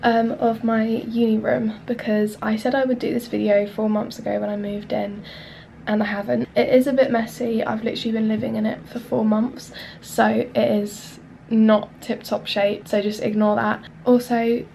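Nasal, congested Englishwoman, twenties, gravelly and fairly flat, casual vlog chatter on a camera mic. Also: a little high, a little hiss or hum. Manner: chatty, filler-heavy, loose and unhurried. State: nasal from a cold. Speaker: vlogger. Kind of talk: casual room tour chat. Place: small student bedroom, indoors. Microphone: handheld camera mic.

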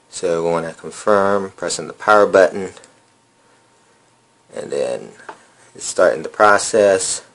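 A man casually walking viewers through a phone reset step by step, with many ums and uhs. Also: low-pitched. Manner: relaxed, lots of fillers. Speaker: phone repair tutorial host. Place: indoor room at a table.

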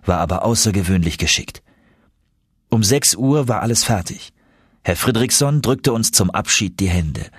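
Low, husky male audiobook narrator. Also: dry recording, studio quality. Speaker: audiobook reader. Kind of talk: scripted first-person audiobook narration of an adventure novel.

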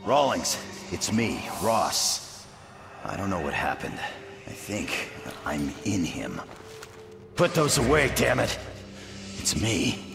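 A man playing a steady, gruff special forces captain with an authoritative manner in a video game. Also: low-pitched, slow, long pauses, music in the background, full character voice. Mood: intense, coaxing. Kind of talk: dramatic video game acting.

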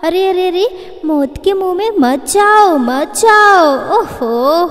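A woman's voice: lively intonation, slow, slight background noise, heavily acted. A woman voicing a vain, chatty female stork who fusses over her makeup and pleads in a whiny, dramatic way. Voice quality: very high shrill cartoon voice. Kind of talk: cartoon voice acting.

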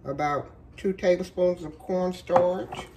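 A woman walks viewers through a recipe in her home kitchen, speaking slowly in a lowish voice. Her voice is worn from a cold, and she talks in a chatty, folksy way with plenty of fillers. A faint hum of kitchen noise sits behind her. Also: steady tone. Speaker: cooking show host. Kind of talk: casual cooking demonstration.

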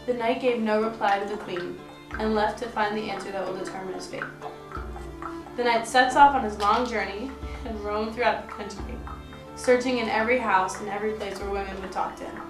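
A woman's voice: mid-range voice, steady tone, brisk. A woman reading a scripted story as narrator of an amateur puppet show. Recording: echoey, distant mic, music underneath.

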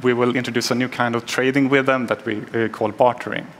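A man chatting on a couch in a studio set, hesitant and leaning on "uh" fillers. His voice sits at an ordinary pitch. A faint background hum runs under him. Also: fairly even intonation, quick pace. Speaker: game developer on a stage show. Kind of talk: casual panel chat.

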